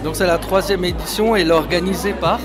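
A man in his thirties hosts a casual on-location radio interview, putting brief questions and reactions to his guest. Music and crowd chatter are clearly audible around him. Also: sits high, fairly even intonation, fast. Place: busy indoor event hall with bar counter. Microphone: microphone held between interviewer and guest.

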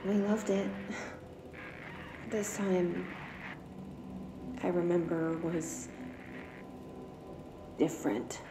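A woman's voice: lowish voice, steady tone, drawn-out words, long pauses. An actress playing a guarded young woman who answers hesitantly and turns defensive about her childhood. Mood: remembering. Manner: hesitant, defensive when pressed. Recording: echoey room with faint noise.

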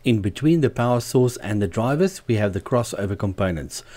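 Male tutorial narrator, lower-pitched and very mellow, measured and explanatory delivery for scripted instruction. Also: expressive. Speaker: host of a DIY loudspeaker channel.

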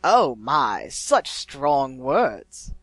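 A man's voice: mid-range voice, very animated, fairly bright tone, no echo, exaggerated voice. A man voicing an eloquent, malevolent disembodied male spirit in a storybook, drawing out words in a theatrical coo. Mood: mocking, malevolent. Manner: drawn-out, theatrical, cooing.

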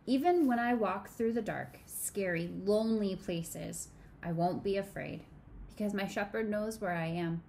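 Woman in her 30s reading a kids' bible story at home, soft expressive voice, camera at desk distance, faint hiss. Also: mid-range voice, a little slow. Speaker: children's sermon co-leader. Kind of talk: reading a storybook aloud to children. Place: small home room.

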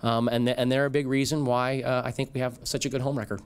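A middle-aged man, a football head coach, speaking in a measured, reflective way at a postgame press conference podium. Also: mid-range voice, little pitch movement, smooth. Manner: measured, reflective, frequent fillers. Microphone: podium microphone.

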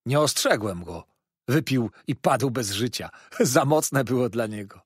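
Storybook role: sly jovial servant, acted by a man, talkative and dramatic, very animated delivery with a rough edge. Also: medium pitch, a little slow, dry recording, clean studio sound. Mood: ominous storytelling. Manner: chatty, exclaiming, theatrically dramatic. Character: sly, jovial manservant with a hearty appetite. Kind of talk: dramatised audiobook reading.

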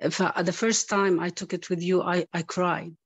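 A woman's voice: fairly low pitch, little pitch movement, normal speed, slightly rough, no echo. A woman, native Arabic speaker with an Arabic accent, giving a heartfelt informal thanks as a student on a video call.

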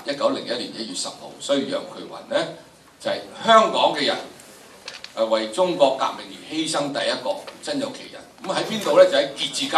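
A man's voice: medium pitch, a bit bright. Old hong kong cantonese guy lecturing students, chatty storyteller, far from the mic, bit of hiss. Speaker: lecturer at a history drama workshop. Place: large indoor lecture hall. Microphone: handheld microphone heard through the room from camera. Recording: roomy, distant, faintly noisy lecture-hall recording.

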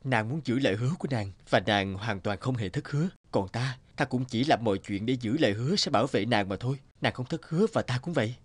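A man's voice: mid-range voice, fast, hardly any pauses, husky, no echo, natural voice. A man voicing a noble, resolute young prince in a fairy-tale cartoon, speaking in a formal, declamatory way. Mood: tender.

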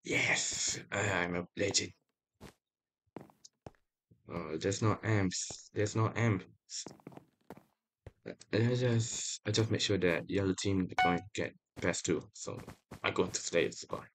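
A young man casually commenting on his own gameplay, excitable and talking to viewers, often repeating himself. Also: low-pitched, slow, long pauses, low-quality recording. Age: young adult. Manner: excitable, talks to viewers while playing, repeats phrases. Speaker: gaming channel host. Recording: loud background noise.

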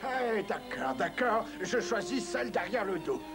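Swooping, dim-witted cartoon boxer. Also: male voice, high-pitched, sing-song, unhurried, exaggerated voice. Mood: hesitant. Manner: hesitant, bumbling, polite. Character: naive, dim, hesitant male cartoon boxer. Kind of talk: French-dubbed cartoon acting. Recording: old cartoon soundtrack with loud music.

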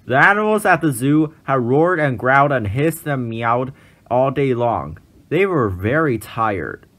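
A man narrating a children's storybook in an expressive, measured read-aloud style. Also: mid-range voice.

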